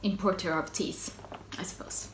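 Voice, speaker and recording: Woman, Finland-Swedish accent, Swedish native speaker, lowish voice, chatty with ums, faint background hiss. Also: fairly even intonation, moderate pace. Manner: chatty, self-interrupting, soft laughs, frequent ums. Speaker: knitting podcast host. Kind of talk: casual solo video podcast.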